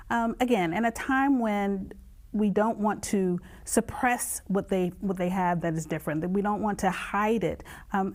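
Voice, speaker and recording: A woman's voice: medium pitch, darkish tone. Chatty woman TV host. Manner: conversational, chatty, long framing questions. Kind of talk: TV interview.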